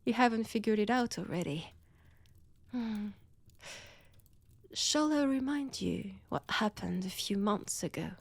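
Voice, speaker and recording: Woman playing a slow, whispering French-accented vampire seductress. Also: medium pitch, expressive, no room sound. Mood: smug, menacing. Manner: slow, taunting, theatrical, dropping often into breathy whispers. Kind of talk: intimate scripted roleplay audio drama, often whispered.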